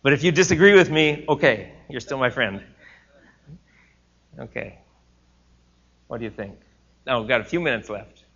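Man, bright forceful voice, classroom teacher, earnest, dull lo-fi recording. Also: medium pitch, normal speed, pauses often, husky. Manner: earnest, thinking aloud with restarts. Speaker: teacher leading a class discussion. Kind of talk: classroom lecture with questions.

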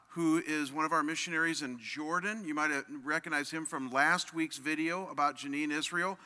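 A man making the closing announcements at a church service, informal and friendly, with his voice very bright and a touch high. A long hall reverb trails each phrase.

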